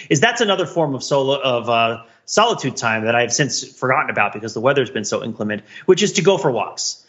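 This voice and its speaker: Bright-voiced man on a slightly dull recording. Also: medium pitch. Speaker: podcast co-host. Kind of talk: casual reflective podcast chat.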